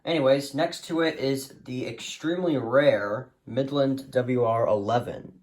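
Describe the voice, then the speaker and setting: A man's voice: mid-range voice, fairly even intonation, a little slow, darkish tone, a little hiss or hum. A man giving a casual, unscripted show-and-tell as a hobbyist, speaking hesitantly and often trailing off. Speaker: hobbyist collector presenting a radio collection.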